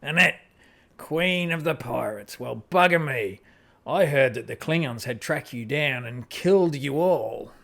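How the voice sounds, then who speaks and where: A man's voice: mid-range voice, expressive, a little slow, vocal fry, fairly bright tone, heavily acted. A man playing an easygoing, hard-drinking spaceship rogue in an amateur audio drama, blunt and laid-back. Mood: casual, curious.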